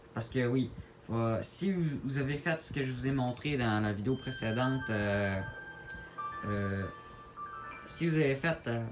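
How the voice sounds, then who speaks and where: A man with a Québécois French accent giving a casual tutorial to viewers, halting and repeating his words as he goes. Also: medium pitch, a little mellow. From Quebec, Canada. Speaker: gaming video creator. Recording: clear background noise, home-made recording.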